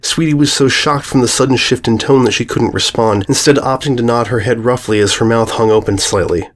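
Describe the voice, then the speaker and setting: A narrator delivers scripted story prose. The pitch is middling, and the reading follows the descriptive text as a storyteller would. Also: fairly quick.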